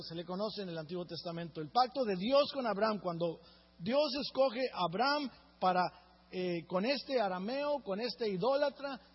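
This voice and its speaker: A man's voice: high-pitched, slightly rough, big-room sound, dull audio. A man from Mexico, preaching a sermon as pastor and often checking in with the congregation. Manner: earnest preaching, often asks the congregation "right?".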